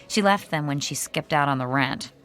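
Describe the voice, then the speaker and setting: A woman's voice: sits low, normal speed, fairly bright tone, soft background music. A woman playing Diane, a chatty theater crew member who explains magic lore with relish. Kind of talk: radio drama acting.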